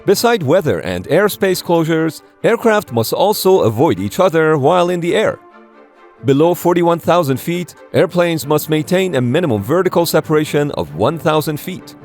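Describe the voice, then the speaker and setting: A man reads scripted documentary narration in a voice pitched a little above average. His delivery is measured and explanatory, with light music playing underneath. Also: lively intonation, a little mellow.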